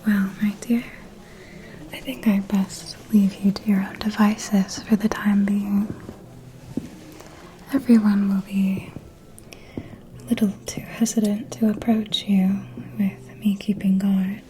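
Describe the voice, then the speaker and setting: A refined, protective vampire mentor, acted by a woman, murmurs confidences in an ASMR roleplay. Her soft whisper is mellow and unhurried. The pitch stays even, giving her a discreet, knowing manner. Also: natural voice, rough recording. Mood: protective, teasing. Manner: confiding, gossiping, measured.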